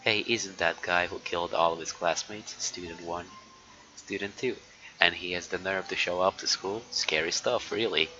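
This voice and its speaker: A man with a fairly low, bright, forward voice streams a game on a muffled microphone with faint noise behind him. He talks loosely to his chat, swears casually and reads on-screen text aloud. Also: steady tone, normal speed. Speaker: live streamer. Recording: dull lo-fi mic with background noise.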